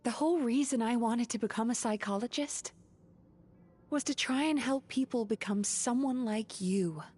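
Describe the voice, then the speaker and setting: A composed young woman dream healer from a video game, gentle and sincere. The voice is fairly high with a breathy edge, over soft background music. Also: moderate pace. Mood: tender.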